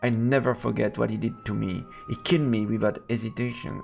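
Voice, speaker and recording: Gravelly French-accented man streaming a game. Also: on the low side, level tone, brisk, a little mellow, soft background music. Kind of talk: live let's play, reading game text aloud and commenting.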